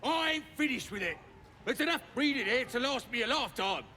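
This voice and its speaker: An actor playing a dim, boastful goblin in a video game, chatting in a rough, rambling British voice. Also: male voice, very high voice, lively intonation, fairly quick, bright, forceful tone, a little hiss or hum, exaggerated voice. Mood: chatty, puzzled. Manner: colloquial, rambling. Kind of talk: video game character acting.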